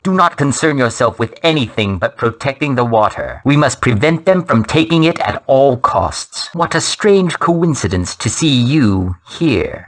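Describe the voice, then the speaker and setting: An actor playing a cold, arrogant soul-collecting villain in a fantasy video game, speaking with formal, deliberate menace. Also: male voice, mid-range voice, fairly bright tone. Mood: haughty, threatening.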